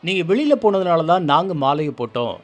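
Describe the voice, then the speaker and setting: Animated, high-pitched man presenting over music. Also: fast. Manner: emphatic, indignant rhetorical questioning. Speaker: news commentary presenter. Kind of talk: scripted social-issue commentary.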